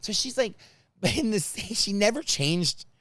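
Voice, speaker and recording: Older guy, high voice, super animated, dry close sound, keeps doing little impressions. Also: unhurried, no echo. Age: 60s. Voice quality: high-pitched for a man. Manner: animated, mimics passengers' voices, self-mocking. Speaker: podcast host. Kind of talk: casual comedic storytelling.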